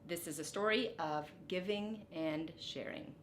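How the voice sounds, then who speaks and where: A middle-aged woman reading a storybook aloud like a teacher, deliberate and expressive, with short explanatory asides. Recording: roomy echo, faint rumbling background noise.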